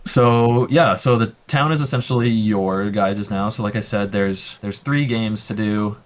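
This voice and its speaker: A man's voice: on the low side, a little slow. A man working as game master, explaining the rules in a casual way with many fillers. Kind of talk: narrating a tabletop game.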